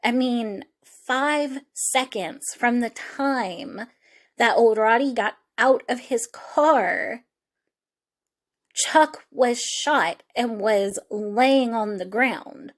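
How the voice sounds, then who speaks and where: High-voiced, unhurried woman podcaster. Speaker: true crime podcast host. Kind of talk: casual true crime podcast commentary.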